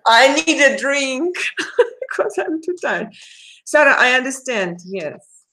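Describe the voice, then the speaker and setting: A woman's voice: high-pitched, very animated, takes it slowly, sharp tone. A woman with a Russian accent, a native Russian speaker, chatting casually on a live stream as a tarot reader, addressing viewers by name and laughing often.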